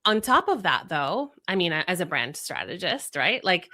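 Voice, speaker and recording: A woman from Venezuela whose first language is Spanish, speaking as a guest strategist. Her voice sits a little low but rings bright and forward. She reasons aloud with examples and rhetorical questions. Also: big pitch swings, normal speed. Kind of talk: conversational podcast interview.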